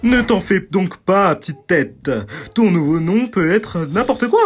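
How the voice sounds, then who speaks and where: A manic cartoon ringmaster, played by an actor, with swooping, highly animated pitch. The delivery is grand and theatrical, like a showman presenting attractions, with music underneath. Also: measured pace, close to an everyday voice. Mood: grandly reassuring. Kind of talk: animated series fan dub. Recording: music behind the voice.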